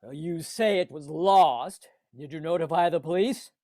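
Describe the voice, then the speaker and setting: A man playing a cruel, contemptuous old rich businessman in a radio play, speaking slowly and deliberately. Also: a little high, dark tone, no echo, clean studio sound, lightly acted. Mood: gloating, scornful. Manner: contemptuous, gloating, slow and deliberate. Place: home recording over video call.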